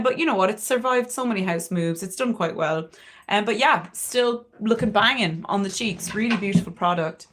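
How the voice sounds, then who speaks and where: A woman's voice: mid-range voice, a bit bright, slight background noise. A young woman with a Cork Irish accent, chatting candidly and swearing freely while doing her makeup on a livestream. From Ireland, Cork. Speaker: beauty livestreamer. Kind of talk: casual makeup livestream chat.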